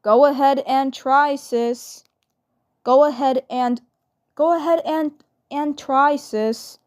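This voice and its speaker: Casting: woman as awkward, teasing cartoon brother, slow, halting, repeats phrases. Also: sits high, fairly even intonation, darkish tone.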